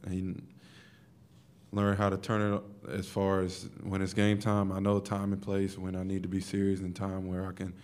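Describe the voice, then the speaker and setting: A man's voice: sits low, completely flat delivery, moderate pace, darkish tone, clean tone. A man in his twenties, a college athlete giving casual, colloquial answers at a press conference. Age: 20s. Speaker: college basketball player. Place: press conference room, indoors. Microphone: table microphone.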